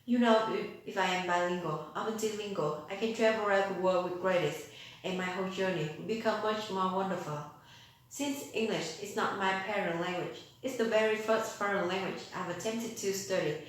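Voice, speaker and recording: A woman's voice: medium pitch, fairly even intonation, normal speed. A teenage girl from Vietnam speaking English with a Vietnamese accent, giving an earnest, rehearsed contest speech with rhetorical questions. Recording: very echoey room.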